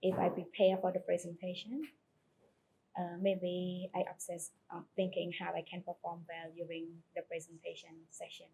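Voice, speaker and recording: A soft-spoken woman speaking as an answers slowly and hesitantly, pausing to search for words. A faint low rumble sits under her voice. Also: completely flat delivery, poor audio. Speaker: volunteer client in a demonstration.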